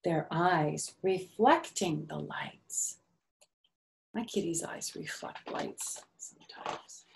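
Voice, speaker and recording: A woman's voice: mid-range voice, a little mellow. An older woman reading a picture book aloud to young children, with simple phrasing and little questions and asides to the listeners.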